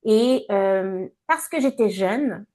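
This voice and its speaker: A woman in her fifties from Montreal with a Québécois accent, answering interview questions in a measured way with many hesitations. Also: mid-range voice, animated, dark tone. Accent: Québécois French. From Montreal, Canada. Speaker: guest, entrepreneur and coach.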